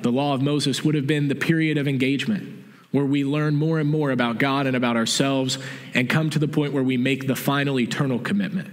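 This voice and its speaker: A middle-aged man preaching a sermon in a church sanctuary, explaining calmly and restating his key points. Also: medium pitch. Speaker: pastor. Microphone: stage mic from a far camera. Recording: long church reverb.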